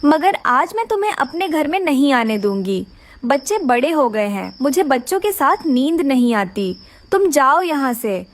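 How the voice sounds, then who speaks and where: A mother bird from a children's cartoon, acted by a woman, with a high voice and a dry, close sound. She is earnest and motherly, caring but firm. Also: sing-song, normal speed, no room sound. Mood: firm, annoyed. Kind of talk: Hindi children's cartoon voice acting.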